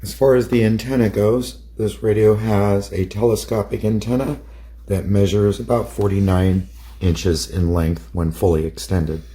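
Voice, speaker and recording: Low, mellow man demonstrating gear. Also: steady tone, moderate pace. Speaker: radio hobbyist presenter. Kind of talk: product demonstration narration.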